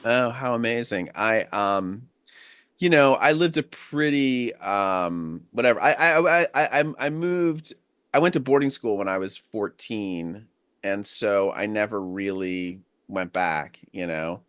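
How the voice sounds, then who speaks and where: A man's voice: on the low side, lively intonation, a little slow, a bit bright, clean tone, dry recording, clean studio sound. A man from Long Island hosting a podcast, reflective in his intro and hesitant, often stammering, in casual questions. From Long Island, New York. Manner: reflective, hesitant, stammers when talking about himself. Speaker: podcast host. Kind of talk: scripted intro and casual interview.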